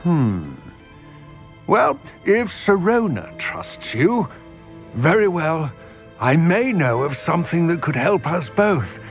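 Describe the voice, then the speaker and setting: A man voicing a wary, elderly goblin trader in a video game, with measured, formal phrasing and a weathered voice. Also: fairly high pitch, sing-song, soft background music, heavily acted. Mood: guarded, measured. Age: older. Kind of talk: dramatic video game dialogue.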